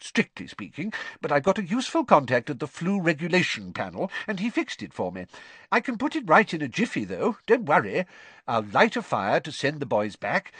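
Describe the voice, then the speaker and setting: A man's voice: medium pitch, a bit bright, breathy edge, no room sound. A man voicing an eager, scatterbrained middle-aged wizard father in an audiobook reading.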